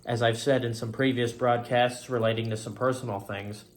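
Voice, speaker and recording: A man's voice: medium pitch, steady tone, normal speed. A man hosting a faith-themed talk show, speaking in an earnest, rambling solo monologue and often circling back to stock phrases.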